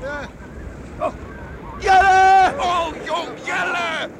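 Frantic, high-strained shouting villager. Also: male voice, extremely slow, heavily acted. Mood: alarmed. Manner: excited shouting, repeated exclamations. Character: frantic villagers on shore watching a sea rescue. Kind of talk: Dutch radio play acting. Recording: noisy outdoor storm scene, crowd behind.

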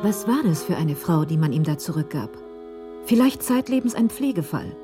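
Female narrator, dark mellow tone, slightly low, scripted radio drama, faint music underneath. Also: big pitch swings, normal speed.

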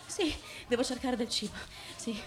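An actress playing a murdered young queen of ancient Corinth in a radio drama, dazed and proud, with a breathy, incantatory, repetitive delivery. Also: a little high, moderate pace, close to an everyday voice. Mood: pleading, confused. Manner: incantatory, repetitive, shifting from murmurs to cries. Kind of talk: theatrical radio drama monologue and dialogue.

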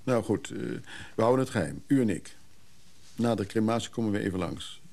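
A man's voice: lowish voice, brisk, mellow, close to an everyday voice. An actor playing a businesslike, discreet man in a naturalistic radio drama. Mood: brisk, businesslike. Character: businesslike man discreetly arranging a funeral and secrets.

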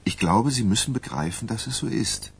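Radio crime drama: a candid ghostwriter, husky and animated in pitch, measured and careful in reasoning. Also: male voice, heavily acted. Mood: earnest, cautioning. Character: candid, careful male journalist turned ghostwriter. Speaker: actor.

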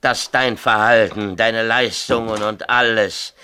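A man's voice: lowish voice, steady tone, slow, sharp tone, slight background noise. An actor playing a tipsy, middle-aged small-town mayor and father, ranting confessionally and seeking agreement in a radio drama. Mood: remorseful, agitated. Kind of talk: 1970s East German radio drama acting.

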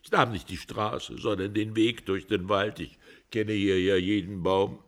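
An actor playing a distraught, hard-drinking husband under questioning, halting and anguished and sinking into breathy near-whispers, in a German radio drama. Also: male voice, fairly low pitch, steady tone, lightly acted. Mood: weary.

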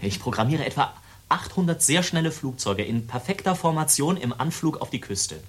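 An actor in a German radio play, playing a military radar operator who explains doomsday machinery in a casual, brooding, halting way. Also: male voice, on the high side, animated, breathy edge. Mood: quietly brooding.

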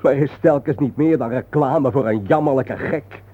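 A man's voice: mid-range voice, normal speed, lightly acted. An actor playing an intense, soul-searching man, earnest and declamatory in a poetic radio drama. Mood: bitter, urgent. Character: intense, soul-searching man lost among the war dead. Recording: muffled, noisy old radio play recording.

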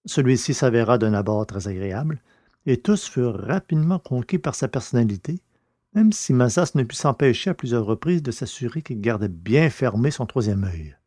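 A man narrating a scripted story in a measured, literary reading style. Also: medium pitch, lively intonation, darkish tone, no echo, studio quality.

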